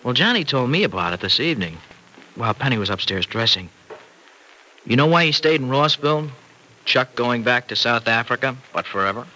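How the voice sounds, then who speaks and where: An actor playing a chatty, teasing young farmer in a 1940s-style radio soap opera, on a noisy old recording. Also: male voice, medium pitch, lively intonation, a little fast, bright, forceful tone. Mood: confiding.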